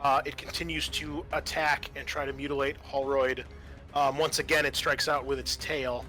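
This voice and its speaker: Bright-voiced man running a tabletop game. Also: mid-range voice, little pitch movement, faint music behind. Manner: halting, graphic description with casual swearing. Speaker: game master. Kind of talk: narrating a tabletop horror game.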